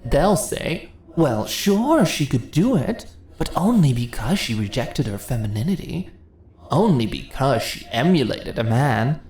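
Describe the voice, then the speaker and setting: A woman playing an ancient, seductive goddess in an ASMR fantasy roleplay, speaking in a theatrical, deliberate, condescending yet coaxing manner. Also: a bass voice, very animated, a little mellow, exaggerated voice. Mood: mocking. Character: ancient seductive goddess, imperious, teasing, persuasive. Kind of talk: ASMR fantasy roleplay, intimate dramatic monologue. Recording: roomy echo, audible ambient noise.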